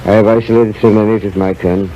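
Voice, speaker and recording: A man's voice: on the low side, little pitch movement, fast, dark tone, natural voice, poor audio. Man playing a boastful big-hearted Swede, theatrical declamation, blunt clipped phrases, 1930s radio play, faint noise on a vintage broadcast. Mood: weary, feverish. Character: hearty, boisterous Swedish rat-killing scientist, boastful, humane, stubborn. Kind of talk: 1930s radio drama acting.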